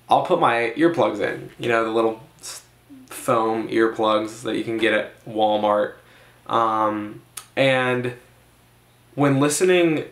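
A man's voice: mid-range voice, a bit bright. Echoey young man reviewing gear casually. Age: 20s. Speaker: motorcycle vlogger reviewing gear. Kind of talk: casual product review to camera. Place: small bedroom, indoors. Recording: roomy, echoey indoor sound.

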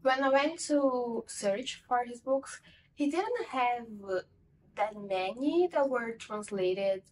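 A woman's voice: fairly high pitch, lively intonation, takes it slowly, a little mellow, recorded from a distance, a little hiss or hum, poor audio. A young woman whose first language is Portuguese, casually reviewing a book at home in a rambling, self-correcting way, from a camera set a little away. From Portuguese-speaking country. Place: home room with bookshelves.

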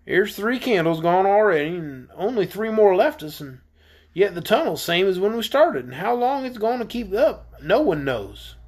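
Reader doing an old sailor guy from a storybook, folksy and kinda doleful, husky, careful and kind. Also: medium pitch, big pitch swings, moderate pace, darkish tone, voice pushed up. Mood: worried. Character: gruff, cautious, kindly old one-legged sailor man. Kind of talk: acted storybook character.

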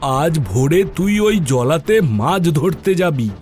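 A man's voice: fairly high pitch, big pitch swings, takes it slowly, a little mellow, faint music behind. A man voicing a cunning, scornful village moneylender in a horror cartoon, mocking and haughty in his questions. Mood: scheming. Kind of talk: animated horror story acting.

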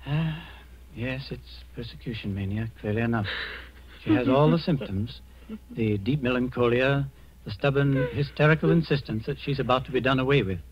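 Authoritative male doctor played by an actor, husky, formal 1940s radio delivery, muffled old recording. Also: mid-range voice, natural voice. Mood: calm, professional. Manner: measured, formal, hesitant pauses.

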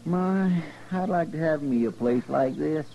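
A deferential frontier sidekick with a rural American accent. He is high-voiced, plain-spoken and folksy, in 1950s radio style. The recording is muffled and plainly noisy. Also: a little slow, vocal fry, mellow. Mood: wistful, polite. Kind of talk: 1950s radio western acting.

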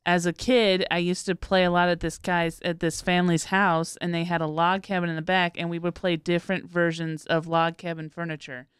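A woman's voice: lowish voice, flat delivery, fairly quick, hardly any pauses, clear, resonant tone, dry recording. Female panelist, clean resonant tone, conversational, tight dry studio recording. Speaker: podcast panelist. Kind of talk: casual chat. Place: podcast studio, indoors. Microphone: close studio mic.

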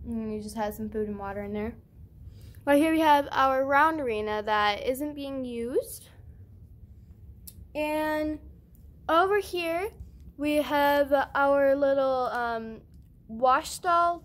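A young woman giving a casual, chatty show-and-tell tour of her toy barn setup with a handheld phone camera, pausing on fillers. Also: high voice. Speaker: channel host.

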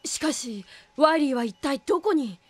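A woman's voice: high voice, lively intonation, moderate pace, no echo. A woman voicing a heroic young robot boy in an anime, earnest and brave. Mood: concerned, polite. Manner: earnest, polite to his elder. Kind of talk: anime voice acting. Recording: music behind the voice.

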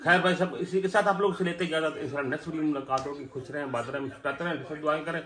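A man's voice: on the high side, fairly even intonation, rapid-fire, a crowd in the background. A man, chatting casually and playfully as he films a family vlog at home. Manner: playful, bantering with his wife. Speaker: family vlogger, host. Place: indoors at home, kitchen and rooms. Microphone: phone at arm's length. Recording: noisy handheld home recording.

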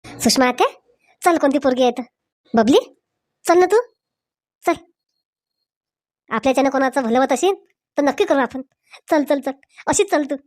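A woman's voice: sits high, moderate pace, pauses often, darkish tone, no room sound, lightly acted. A woman voicing an eager young village bachelor in a Marathi comedy cartoon, with a rural Varhadi accent and urging, colloquial talk. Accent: rural Maharashtra, Varhadi Marathi. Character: eager young village bachelor, brother visiting his sister.

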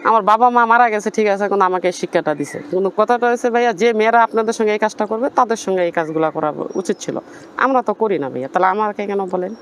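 A woman from Bangladesh, answering interview questions in a rapid, earnest, pleading way. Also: medium pitch, slight background noise.

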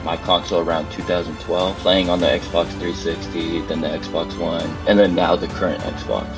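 A young college-age man from California, speaking in a flat, even way. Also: low voice, normal speed. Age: young adult. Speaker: interviewee gamer. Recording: music bed underneath.

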